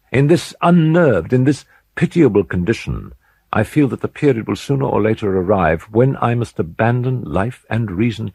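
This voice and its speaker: A doomed gothic gentleman, acted out by a male storyteller, speaks in agitated, theatrical despair. The voice is husky and creaky, swinging widely in pitch. He sounds fearful and highly strung, as suits a horror tale. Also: dry recording, heavily acted.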